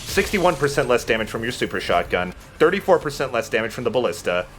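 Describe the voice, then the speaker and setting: A man's voice: medium pitch, very animated, fast, a bit bright. A man giving casual gameplay commentary as the host who is playing. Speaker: let's play host, playing the game. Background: video game music.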